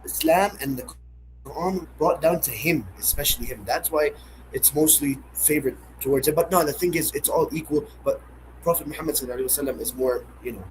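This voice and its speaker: A man in a casual talk who speaks quickly, breaks off mid-word and hunts for the right phrase. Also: on the high side, a little hiss or hum, poor audio. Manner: fast, gropes for words, trails off. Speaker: conversation partner. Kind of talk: casual conversation about religion.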